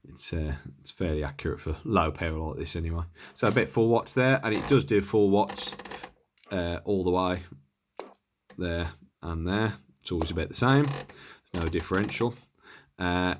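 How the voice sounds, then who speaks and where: A man's voice: deep voice, a little hiss or hum. A man giving a casual hands-on gear demonstration in a hesitant, offhand, understated way. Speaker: presenter of a radio gear review.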